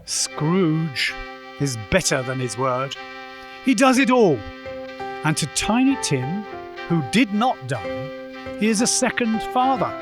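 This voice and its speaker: Guy slowly telling a story for a radio play, high pitched, music under him. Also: very animated. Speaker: narrator. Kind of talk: slow scripted storytelling narration.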